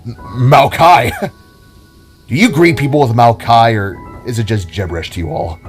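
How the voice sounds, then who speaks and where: A man acting a big, gentle, playful older brother of a robot-like race, hesitant and heartfelt, in an amateur audio drama. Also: mid-range voice, moderate pace, soft background music, close to an everyday voice. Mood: friendly, teary.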